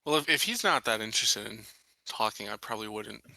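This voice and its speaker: A man playing a tabletop roleplaying game, haltingly narrating what his character says. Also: lowish voice, lively intonation, gravelly, sharp tone, no echo, rough recording. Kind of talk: casual chat, narrating his character's lines.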